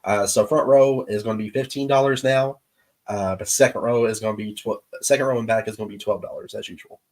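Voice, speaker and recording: A man with a somewhat low voice hosts a casual live stream, talking straight to viewers. His nose is stuffed up from allergies, and he speaks in an easygoing, halting way, pausing often. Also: darkish tone. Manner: easygoing, halting with many pauses. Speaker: show host. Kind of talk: casual livestream chat with viewers.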